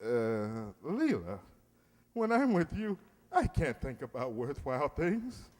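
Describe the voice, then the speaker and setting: An actor playing a pompous, lovestruck, grumbling middle-aged bachelor in 1940s radio comedy style, blustery and exclaiming. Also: male voice, medium pitch, very animated, slow, darkish tone, in a large hall. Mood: flustered, lovestruck.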